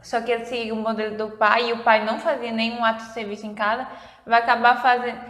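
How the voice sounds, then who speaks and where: A woman's voice: fairly high pitch, level tone, fast talker, a bit bright. A woman, co-hosting a casual chat and asking questions. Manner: conversational, asks questions. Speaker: co-host. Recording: echoey room with long reverb.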